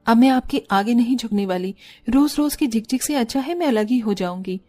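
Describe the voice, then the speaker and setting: A woman's voice: a little high, expressive, brisk, warm tone, soft background music. A woman voicing a put-upon, self-respecting young sister-in-law in a cartoon story, plain-spoken and aggrieved. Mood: indignant. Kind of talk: Hindi cartoon story acting.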